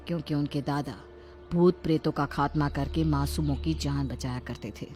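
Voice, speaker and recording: A woman reading scripted narration for a cartoon horror tale as its storyteller. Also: low-pitched.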